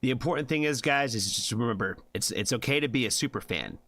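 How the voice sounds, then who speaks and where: A man's voice: medium pitch, quick pace, a bit bright, dry recording. A man hosting a music reaction livestream, talking loosely and swearing freely, with lots of fillers and a habit of asking "you know what I mean?". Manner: laid-back, rambling with many fillers. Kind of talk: casual livestream chat.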